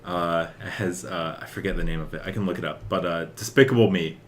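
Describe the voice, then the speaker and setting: A man's voice: low voice, fairly quick. A man co-hosting a podcast in casual conversation, thinking aloud in a rambling way. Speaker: podcast co-host. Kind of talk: casual chat about games and films.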